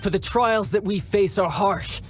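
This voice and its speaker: A man's voice: high voice, measured pace. A man voicing a cocky, playful young commander in a game cutscene, confident in speeches and fumbling his cover story, with light music behind. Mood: commanding. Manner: confident speechmaking with awkward improvised cover stories. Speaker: young military commander posing as a toy seller. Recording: soft game music underneath.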